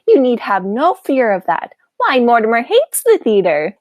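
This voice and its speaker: A young woman acting a sweet, fussy, chatty elderly aunt with a genteel manner in a high school radio play. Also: wildly swooping pitch, moderate pace, no room sound, muffled recording. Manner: genteel, fluttery, coaxing.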